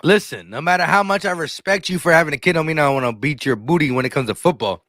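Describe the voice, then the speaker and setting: Bright, forceful man in his thirties. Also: fairly high pitch, fast, no echo. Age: 30s. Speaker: sports show host. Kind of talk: casual chat.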